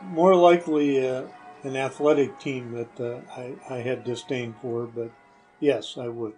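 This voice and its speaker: An older man answering casual interview questions as a guest, plainspoken, terse and halting. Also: mid-range voice, fairly even intonation, darkish tone, faint music behind, rough recording. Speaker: podcast guest, hosts' father.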